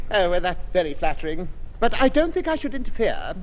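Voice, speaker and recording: A fussy English gentleman with a high voice that swoops up and down. He is prim, bookish and dithering, in the manner of vintage radio comedy. The old recording is hissy and echoey. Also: dull audio. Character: fussy, silly, bookish gentleman neighbour, easily flattered. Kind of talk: 1950s radio sitcom acting. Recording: noisy old radio broadcast, echoey studio.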